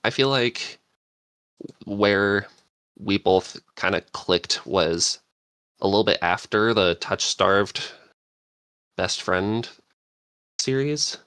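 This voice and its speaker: Male podcast panelist, deep-pitched and unhurried, informal, meandering delivery. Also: fairly bright tone, smooth, dry recording. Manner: rambling, searching for words. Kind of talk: casual chat.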